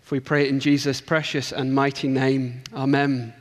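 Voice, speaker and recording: A man's voice: mid-range voice, flat delivery, moderate pace. English-accented man preaching in a hall. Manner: earnest, rhythmic preaching with emphatic build-ups. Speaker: guest preacher. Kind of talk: sermon. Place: church sanctuary.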